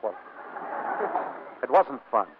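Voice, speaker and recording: A man's voice: slow pace, natural voice. An actor playing a hapless, evasive young husband in a 1940s radio comedy, stammering as he makes excuses. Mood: flustered, evasive. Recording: muffled old radio broadcast.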